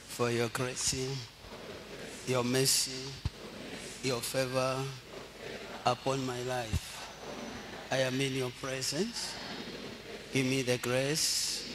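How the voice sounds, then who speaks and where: A middle-aged man from Zambia, preaching a sermon slowly and deliberately into a handheld microphone, leading the congregation in prompts. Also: mid-range voice, noisy background. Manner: slow, deliberate preaching with call-and-response prompts. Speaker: pastor. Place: large church hall with congregation. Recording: long hall reverb.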